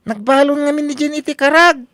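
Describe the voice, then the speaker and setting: A woman's voice: high-pitched, a little fast, a bit bright, dry recording, studio quality. A woman voicing a mischievous young schoolboy prankster with a playful manner and an Ilocano accent in a comedy radio drama. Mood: amused.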